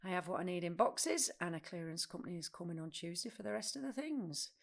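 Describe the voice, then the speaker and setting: A woman playing a brusque, socially awkward, overwhelmed woman in a naturalistic audio play, speaking bluntly in halting, repeated phrases. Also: lowish voice, expressive, gravelly. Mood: curt, evasive. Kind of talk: naturalistic audio drama acting.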